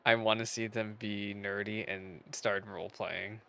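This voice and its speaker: A man giving casual, chatty reactions as he streams a game, in an excitable, nerdy way. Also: lowish voice, measured pace, gravelly. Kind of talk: casual reaction commentary over gameplay.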